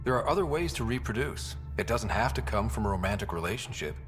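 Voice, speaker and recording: A man's voice: on the low side, lively intonation, fast, long reverb tail, faint music behind, lightly acted. A man playing a friendly, composed young human explorer who is the hero of a video game. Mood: thoughtful. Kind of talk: video game dialogue, conversational.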